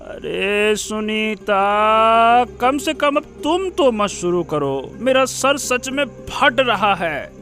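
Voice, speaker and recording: Guy doing a tired farmer husband, really high voice, fed up n pleading, cartoon drama style. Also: lively intonation, very slow, hardly any pauses, sharp tone, faint music behind, full character voice. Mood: exasperated. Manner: pleading, exasperated. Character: weary, exasperated village farmer husband caught between quarrels. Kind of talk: melodramatic animated story acting.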